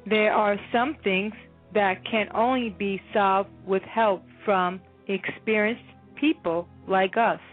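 An actress voicing a calm adult school counselor who speaks in a measured, gently firm way, in a radio play. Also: female voice, medium pitch, soft background music. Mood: concerned. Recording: tinny phone-line sound.

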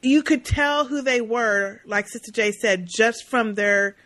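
A woman co-hosting a casual interview, talking in an emphatic, animated way. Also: a little high, unhurried. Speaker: podcast co-host, interviewer. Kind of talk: casual chat.

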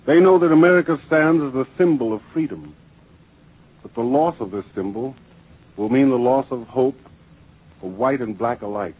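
A man's voice: medium pitch, slightly rough. A man narrating a 1940s radio documentary drama in a grave, oratorical manner. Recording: noisy, tinny old radio broadcast.

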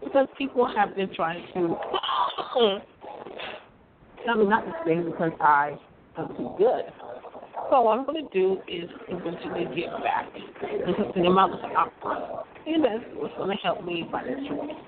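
A hoarse voice, worn down by a cold, rambles on, looping back over the same phrases and laughing between thoughts. It comes through a narrow, phone-like line. A crowd is clearly heard behind it. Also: mid-range voice, expressive, normal speed, long pauses. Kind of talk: rambling monologue.

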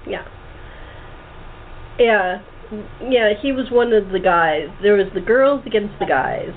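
A woman's voice: medium pitch, animated, takes it slowly. Woman host on a tinny, noisy phone line. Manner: chatty, jokey, self-described sarcastic. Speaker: host and radio playwright. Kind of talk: casual interview chat.

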